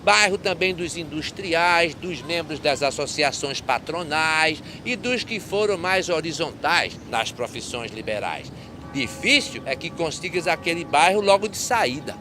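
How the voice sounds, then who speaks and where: An actor playing a grumbling, sardonic gravedigger in an animated film, declaiming rhymed verse. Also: male voice, a little high, a little slow, music in the background.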